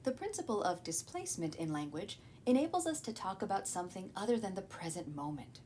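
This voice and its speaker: A woman narrating a scripted educational video as a teacher, explaining clearly. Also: very animated, fairly quick, darkish tone. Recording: noticeable low rumbling background noise.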